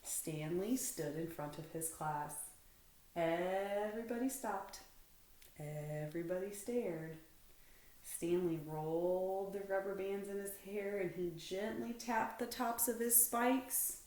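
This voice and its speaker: A woman's voice: mid-range voice, slow pace, darkish tone. A woman reading a children's storybook aloud expressively, like a teacher to pupils. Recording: roomy indoor echo.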